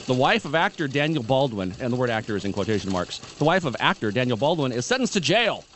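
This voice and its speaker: Deadpan male radio newsreader. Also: mid-range voice, quick pace, a little hiss or hum. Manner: dry, deadpan asides. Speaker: radio news co-host. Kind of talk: reading news items with banter.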